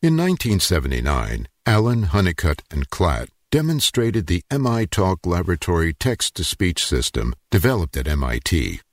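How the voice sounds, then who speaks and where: An older man giving scripted documentary narration in a measured, explanatory way. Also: low voice, big pitch swings, dry recording, studio quality.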